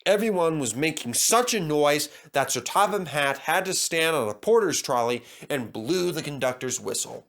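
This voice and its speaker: A man narrating a children's story from a script in an expressive, dramatic storytelling manner. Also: a little high, normal speed, gravelly, few pauses. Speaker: storybook reader.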